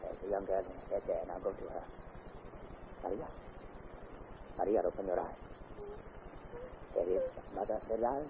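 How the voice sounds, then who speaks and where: A man voicing a puzzled village doctor and coroner who speaks in a measured, authoritative way. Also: low-pitched. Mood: grave. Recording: tinny old radio broadcast, faint hiss.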